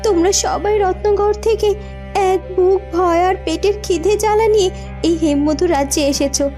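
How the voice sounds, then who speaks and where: A woman's voice: very high voice, moderate pace, mellow. A young cartoon princess acted by a woman addresses her subjects in a solemn, declamatory way. She is compassionate yet stern, with light music behind her. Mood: solemn, caring. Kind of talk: children's fairytale cartoon acting.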